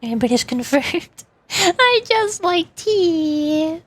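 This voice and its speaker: A woman's voice: extremely high pitch, lively intonation, takes it slowly, fairly bright tone. A woman streamer chatting casually and rambling, often giggling as she answers her chat.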